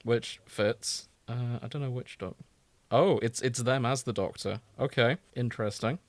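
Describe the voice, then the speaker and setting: A man co-hosting a podcast in an informal, bantering style, happy to give his opinions. His voice sits at an average pitch, recorded dry with very little room sound. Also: normal speed, no echo. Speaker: podcast co-host. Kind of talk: casual podcast chat.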